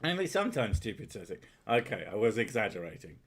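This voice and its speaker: A man's voice: lowish voice, big pitch swings, fast, husky. A man, chatting casually with his partner on a stream, swearing freely and mocking himself. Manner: sweary, self-mocking, loud laughing. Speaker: streamer host. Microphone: headphones and desk mic.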